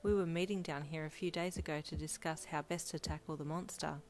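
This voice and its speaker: Shy gentle swordsman guy, quiet and hesitant, kinda low, voiced by a woman reading. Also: steady tone, a little fast, soft background music, close to an everyday voice. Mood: soft. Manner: soft-spoken, hesitant. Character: gentle shy young male swordsman gamer. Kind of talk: reader-insert story narration.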